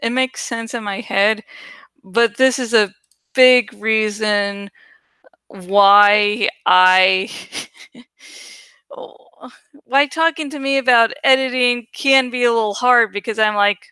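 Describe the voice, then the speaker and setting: Bright-voiced, casually rambling woman. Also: on the high side, slow pace, long pauses, smooth, no echo. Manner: self-deprecating, swears freely, thinks out loud. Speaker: video creator and host. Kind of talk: casual rambling commentary while editing artwork.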